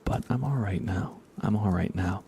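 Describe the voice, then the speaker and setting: A young man softly murmuring on an ASMR gaming livestream in an unhurried, casual way, often close to a whisper, near the mic. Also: fairly low pitch, a little hiss or hum. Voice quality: soft, hushed, often slipping into breathy whisper. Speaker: livestream host.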